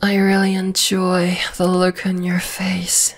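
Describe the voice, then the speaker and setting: A woman playing a dominating, flesh-eating spider monster in an ASMR roleplay, whispering in a breathy, teasing, menacing way. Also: on the low side, monotone, slow. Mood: gloating, menacing. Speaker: voice actor.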